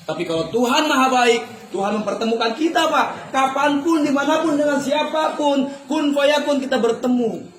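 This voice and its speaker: A man's voice: very high-pitched, moderate pace, hardly any pauses. A man hosting a motivational seminar, rallying the audience and addressing them as friends. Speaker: host of a business seminar. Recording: echoey hall, loud noise and crowd. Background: loud crowd.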